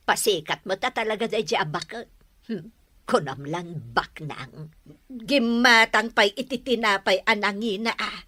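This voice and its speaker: A woman's voice: mid-range voice, sharp tone, no room sound. A woman with an Ilocano accent playing a nosy, envious gossip of an auntie in an audio drama, scoffing and drawling. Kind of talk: Ilocano radio-style drama acting.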